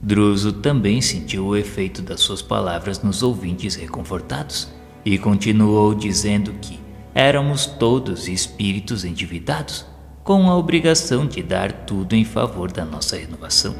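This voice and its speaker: A man with a fairly low voice narrates an audio novel. His delivery is solemn, formal and measured, set in a long reverb over faint music. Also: animated, creaky. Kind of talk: scripted dramatic narration of a spiritual story.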